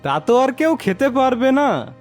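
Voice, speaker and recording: Cartoon villain man acting, bossy and menacing, high-pitched, drawn-out commands over clear background music. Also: sing-song. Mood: gloating, bossy. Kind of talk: cartoon fairy-tale voice acting.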